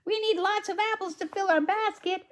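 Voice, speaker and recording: A woman's voice: very high-pitched, a little fast, pitched above the performer's own voice. A woman reading as a sing-song cheerful little girl. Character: cheerful little girl picking apples with her puppy.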